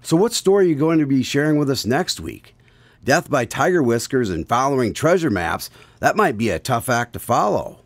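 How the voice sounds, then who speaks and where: A man's voice: mid-range voice, very animated, normal speed, no room sound. A man acting as the polite, attentive host of a radio drama, putting questions to a storyteller. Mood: curious, courteous. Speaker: host, announcer. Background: music.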